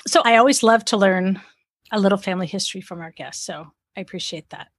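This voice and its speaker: A woman's voice: mid-range voice, fast, no room sound. A woman hosting an interview, friendly and enthusiastic, with short affirming reactions. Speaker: interview host.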